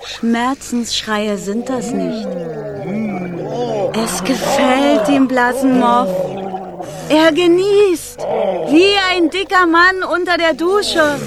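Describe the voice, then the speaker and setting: An actress playing a sober, sceptical commentator with a dry, matter-of-fact manner in a radio play. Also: female voice, high voice, slow, long pauses, over a noisy crowd. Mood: dry, observant. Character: sceptical, sober female commentator puncturing heroics. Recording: music behind the voice.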